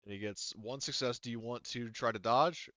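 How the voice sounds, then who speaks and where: A man acting as game master, casually running a tabletop game and thinking aloud in a halting way, on slightly dull audio. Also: mid-range voice, pushed and bright, no echo. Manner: halting, talks through rules while thinking aloud.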